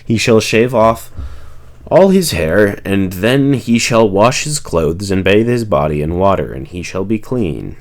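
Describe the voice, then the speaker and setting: A man's voice: lowish voice, lively intonation, unhurried. A man reading scripture aloud as a reader of a long text.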